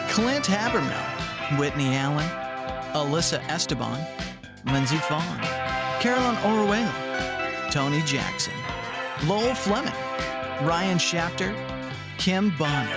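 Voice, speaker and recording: A man announcing the credits of an audio show in a scripted read. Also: a little high. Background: loud music with guitar.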